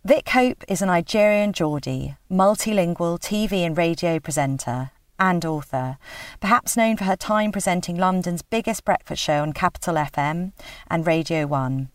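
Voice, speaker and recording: A woman hosting a podcast, reading a formal introduction in a measured way before asking interview questions. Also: on the low side, no echo, studio quality, recorded from a distance. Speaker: podcast host.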